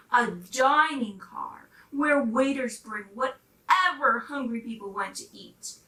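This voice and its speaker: A woman's voice: sits high, sharp tone, heavily acted. A woman voicing a snobbish male passenger train engine in a children's story, boastful and scornful. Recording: roomy, distant microphone.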